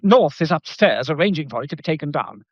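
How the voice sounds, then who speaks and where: Nervy, high-pitched British gentleman. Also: lively intonation, fast, no room sound. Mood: flustered, evasive. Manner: stammering, formal, insistent repetition. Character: nervy, evasive, fussily formal gallery man. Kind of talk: period British radio drama acting. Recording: dull, muffled lo-fi audio.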